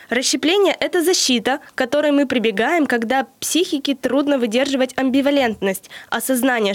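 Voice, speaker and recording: A woman in her early twenties co-hosting a podcast, explaining a topic in a measured, lecture-like way with occasional hesitations. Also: on the high side, dry recording. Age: early 20s. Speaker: podcast co-host presenting the theory segment. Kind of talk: explaining from prepared notes, then casual chat.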